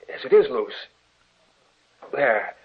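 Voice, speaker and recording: A man's voice: mid-range voice, sing-song, slow pace, breathy edge, full character voice. An actor playing an earnest, grieving older father in a 1940s-style radio drama. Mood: uneasy. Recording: tinny, narrow old radio recording.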